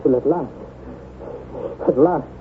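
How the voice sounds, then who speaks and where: An actor playing an anguished, jealous husband in old-time radio drama, speaking in a halting, melodramatic way. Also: male voice, a little high. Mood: haunted, despairing. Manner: melodramatic, halting, repeats words for emphasis. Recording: tinny, noisy vintage radio recording.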